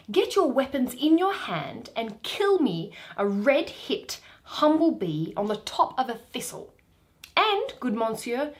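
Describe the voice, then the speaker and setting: Woman playing pompous donkey-headed man, swooping delivery. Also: sits high. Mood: bossy, fussy. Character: Bottom, bumbling self-important man, part donkey, hungry and sleepy.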